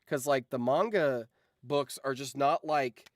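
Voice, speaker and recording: A man with a mid-pitched voice talks casually on a livestream, close to the mic with a dry sound. He rambles through anecdotes like an enthusiastic fan, informal and happy to swear. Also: a little slow, dry recording. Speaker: streamer and voice actor.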